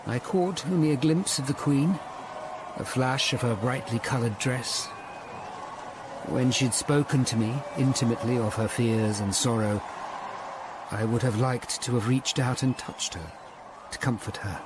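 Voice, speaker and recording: A man acts a grave, hunchbacked Tudor lawyer-detective in a radio drama. He speaks and narrates in a measured, earnest, formal manner at a middling pitch. Background ambience is audible around him. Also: noisy background, natural voice. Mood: reflective. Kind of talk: radio drama acting and first-person narration.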